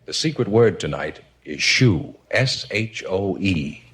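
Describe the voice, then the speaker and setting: A man's voice: low-pitched, animated, takes it slowly, a bit bright, husky. A male game show announcer with a showy, formal build-up in 1950s radio style. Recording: vintage 1955 radio broadcast.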